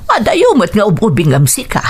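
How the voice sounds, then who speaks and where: Radio drama: older Ilocano mom, husky and highly expressive, stern, protective, kind underneath. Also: female voice, fairly quick. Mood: worried, stern. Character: strict but kindly older mother, doubtful and protective.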